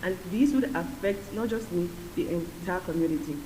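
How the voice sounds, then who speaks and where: A woman's voice: on the low side, normal speed, warm tone. A woman speaking earnestly at a conference panel, explaining her point with many fillers. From Nigeria. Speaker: community respondent. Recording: echoey hall with hiss.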